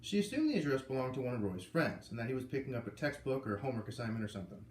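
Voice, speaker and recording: A man reading a children's novel aloud, lively and putting on voices for the characters. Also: mid-range voice, rapid-fire, far from the mic. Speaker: reader. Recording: echoey room with faint ambient noise.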